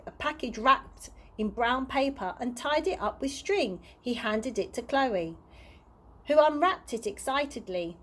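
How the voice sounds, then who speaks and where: A woman reading a children's storybook aloud in an expressive storytelling manner, with small stumbles. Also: a little high, slightly rough. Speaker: early years practitioner reading stories.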